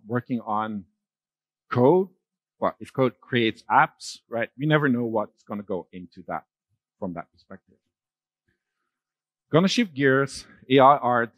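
A middle-aged man giving a conference keynote on a large dark stage, talking loosely with fillers and restarts. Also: medium pitch, no room sound, dull audio. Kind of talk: keynote talk with slides.

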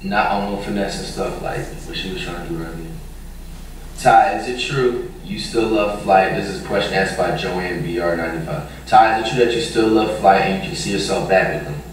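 A man's voice: sits low, brisk. A man chatting casually and joking, reading out viewer questions. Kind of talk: casual chat in a video. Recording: echoey room from far off with faint noise.

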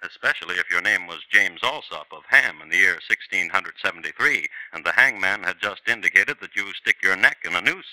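A man's voice: low voice, lively intonation, a little fast, hardly any pauses, pushed and bright, dry recording, rough recording. A man narrating a 1950s radio crime drama in a dry, wry storytelling manner.

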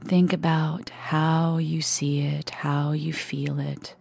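Low, breathy woman guiding a meditation. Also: little pitch movement, soft background music. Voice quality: breathy, husky, often near a whisper. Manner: gentle, unhurried, with long pauses. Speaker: meditation guide. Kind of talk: guided meditation, soft and soothing delivery.

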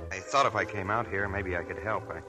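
An actor playing an earnest, hesitant young army lieutenant in a 1940s radio drama. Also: male voice, sits low, a little fast, over music, natural voice.

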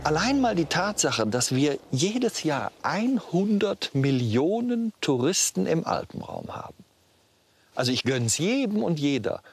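A man's voice: fairly high pitch, big pitch swings, unhurried, husky. A middle-aged man outdoors in the mountains, explaining earnestly with rhetorical questions in an interview. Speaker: expert interviewee.

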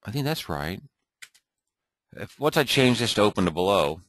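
A man thinking aloud and muttering to himself as he clicks through an off-the-cuff software tutorial at his computer. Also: on the low side, sing-song, normal speed. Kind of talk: off-the-cuff screen recording tutorial.

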